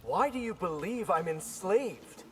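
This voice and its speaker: A man's voice: high-pitched, animated, unhurried, slight background noise. A man voicing an earnest, defiant young ninja in a video game, with a challenging delivery. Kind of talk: video game character dialogue.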